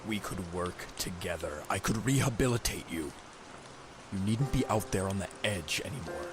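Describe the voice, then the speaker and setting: A man voicing a grim, weary masked vigilante in a comic dub, speaking in a measured, stern, earnest way. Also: low voice, lively intonation, over music. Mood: grave appeal. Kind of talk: dramatic comic dub voice acting.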